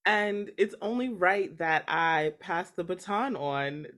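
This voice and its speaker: A young woman hosts a podcast in a slightly low voice. She is upbeat and chatty and laughs easily with her guest. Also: slow, fairly bright tone. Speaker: podcast host, junior doctor. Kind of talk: podcast hosting.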